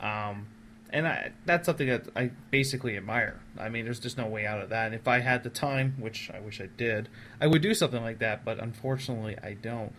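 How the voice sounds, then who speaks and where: A man's voice: medium pitch, a little fast, a bit bright, a little hiss or hum. A man hosting a casual podcast, talking in an effusive, wordy way as he interviews his guests.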